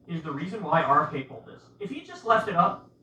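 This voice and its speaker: A man with a forceful, somewhat high voice delivers casual political commentary in an insistent way, leaning on rhetorical questions. He sounds set back from the mic in a roomy space with faint noise. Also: big pitch swings, fast talker, recorded from a distance, lo-fi sound.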